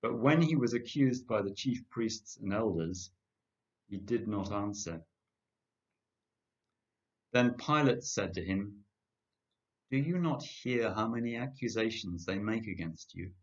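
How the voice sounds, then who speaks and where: Older man, low voice, measured and deliberate scripture reader, slightly dull home recording. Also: expressive. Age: older, 60s or above. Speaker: church congregation member reading scripture. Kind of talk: scripture reading, narration of a Gospel. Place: indoor home kitchen. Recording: dull lo-fi home webcam sound.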